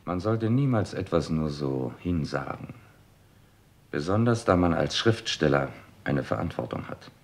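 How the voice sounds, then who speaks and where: A man's voice: a bass voice, animated, measured pace, creaky, exaggerated voice. An actor playing a stern, reproving male chief inspector with clipped delivery in a 1960s German radio crime drama. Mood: stern, reproachful.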